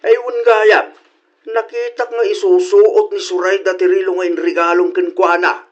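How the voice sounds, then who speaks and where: A man's voice: high voice, level tone, normal speed, highs cut off. A man playing an easygoing, teasing older husband and father in a comedy radio drama, with an Ilocano accent.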